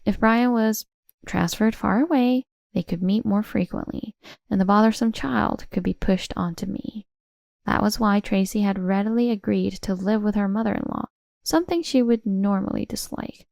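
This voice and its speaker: A woman's voice: medium pitch, normal speed, gravelly, darkish tone, no room sound, studio quality. A woman reading a scripted first-person family drama as its narrator. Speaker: story narrator.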